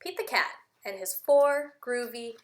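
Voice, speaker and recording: A woman teacher doing an animated read-aloud for young children, with exaggerated emphasis, simple explanations, questions and bits of singing. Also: on the high side, a little slow, slight background noise. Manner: simple explanatory phrasing with repeated words. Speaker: speech-language teacher reading aloud.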